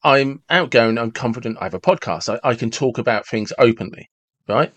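A lively man in his forties, thinking aloud in casual conversation and tripping over repeated words as he works through an idea. The sound is close and dry, with almost no room tone. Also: medium pitch, fairly quick, no room sound, muffled recording. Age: 40s. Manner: animated, restarts and repeats words when reasoning aloud. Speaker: podcast host.

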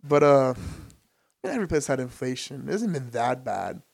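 A young man from Albania chats loosely as a podcast guest. His talk is relaxed and full of "like" and "bro". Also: medium pitch, normal speed, vocal fry. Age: young adult. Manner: loose and chatty, says "bro" and "like" a lot. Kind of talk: casual chat.

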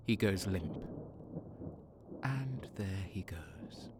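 An actor playing a sadistic, cold-hearted traitor soldier who narrates his own story in a measured, intense audio drama style. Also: low voice, lively intonation, noisy background, heavily acted. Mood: cold, brooding.

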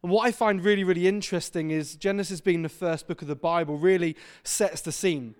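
A man's voice: high voice, fairly even intonation, fairly quick, a little mellow, clear, resonant tone. A man in his thirties from Devon preaching a sermon in a church, chatty and self-deprecating. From England (Devon). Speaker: visiting preacher. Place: church hall.

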